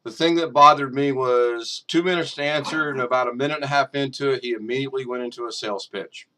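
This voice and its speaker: A man's voice: medium pitch, steady tone, fast talker, sharp tone, rough recording. A man hosting a casual chat on a video call, chatty and joking. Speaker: show host.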